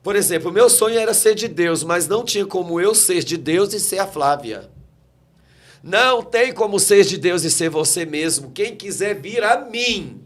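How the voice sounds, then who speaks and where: Man in his forties, guest pastor, fervent preacherly delivery, voice sits a little high for a man. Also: lively intonation, normal speed. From Brazil. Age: 40s. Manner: fervent, preacherly, quotes scripture. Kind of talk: emotional testimony in a talk interview.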